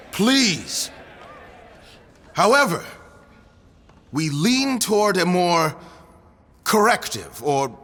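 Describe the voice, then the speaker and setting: A man's voice: sits high, bright, forceful tone, full character voice. A man playing a pompous, stern vice chancellor of a scholars' guild in an audio drama, speaking formally over music. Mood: stern, commanding. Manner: pompous, formal, measured delivery. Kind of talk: scripted audio drama acting. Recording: music behind the voice.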